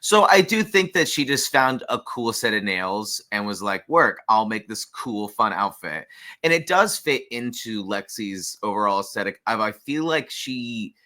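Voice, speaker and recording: A man's voice: lowish voice, very animated, normal speed, sharp tone. A man co-hosting a podcast, offering casual, opinionated commentary in a conversational way. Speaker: podcast co-host reviewing drag runway looks.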